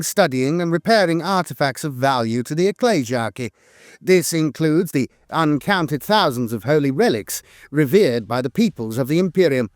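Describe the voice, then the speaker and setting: A man's voice: on the high side, darkish tone, no echo, studio quality. A man narrating scripted lore as if reading aloud, often stumbling into an uh mid-phrase. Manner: hesitant reading, frequent uhs mid-phrase.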